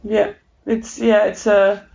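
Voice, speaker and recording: A woman's voice: mid-range voice, little pitch movement, slow pace, gravelly, a little mellow. A young woman interviewing in a casual, agreeable and informal way. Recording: slightly dull, muffled sound.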